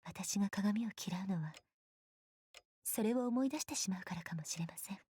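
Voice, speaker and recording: An actress voicing a reserved spirit woman who speaks softly and quietly in a visual novel. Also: medium pitch, very fast, slightly rough. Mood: wistful. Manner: soft, quiet, partly whispered. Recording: game audio with music.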